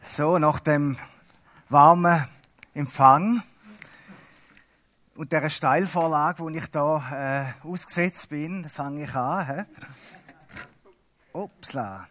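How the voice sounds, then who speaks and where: A middle-aged Swiss man whose first language is Swiss German, giving a chatty, halting lay sermon with self-mocking humor. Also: a little high, a bit bright. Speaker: lay preacher.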